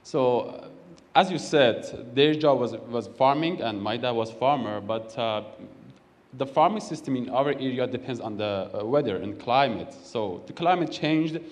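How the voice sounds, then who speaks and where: A man's voice: mid-range voice, lively intonation, measured pace. A man from Iran whose first language is Kurdish, speaking plain English with a Kurdish accent and many fillers as a guest on a church stage. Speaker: church leader. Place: large church hall. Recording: echoey church hall.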